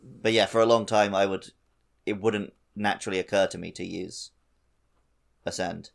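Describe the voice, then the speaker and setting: A man's voice: lowish voice, fairly even intonation, normal speed, vocal fry. A man co-hosting a casual gaming podcast, talking conversationally and acting out his own thoughts as he goes. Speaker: podcast co-host. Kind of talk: casual game chat.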